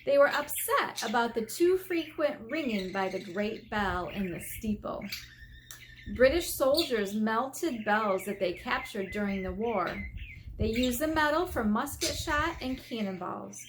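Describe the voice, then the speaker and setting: A woman's voice: a little high, lively intonation, a little slow, a little hiss or hum, low-quality recording. An American woman, an elementary teacher, reading a children's book aloud and explaining it in simple, instructive terms.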